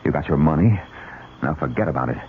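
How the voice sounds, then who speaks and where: A man's voice: very deep voice, expressive, fast, creaky, heavily acted. An actor playing a scheming, irritable married man with a curt, evasive manner in an old-time radio drama. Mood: firm, cold. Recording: muffled, noisy old radio recording.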